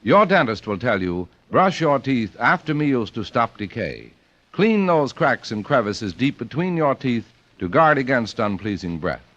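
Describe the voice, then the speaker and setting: A male American announcer delivers scripted copy in vintage 1940s radio fashion. He is polished and emphatic, with lively intonation. The recording sounds dull and aged. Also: medium pitch, a little slow. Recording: muffled old radio recording.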